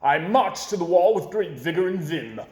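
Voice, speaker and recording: A man reading a rhyming children's book aloud with an expressive, sing-song delivery, in an echoey room. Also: high voice, moderate pace. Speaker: storyteller.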